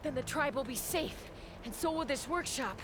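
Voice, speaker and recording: A woman's voice: high voice, lively intonation, normal speed, very noisy. An actress playing a bold, stubborn young woman warrior in a fantasy video game, with dramatic delivery. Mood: urgent. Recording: game stream with loud music. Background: loud game music.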